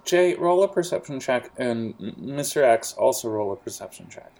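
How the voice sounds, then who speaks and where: A man narrating a tabletop role-playing game as game master, halting with fillers and restarts. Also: mid-range voice, lively intonation, gravelly, a little mellow, a little hiss or hum.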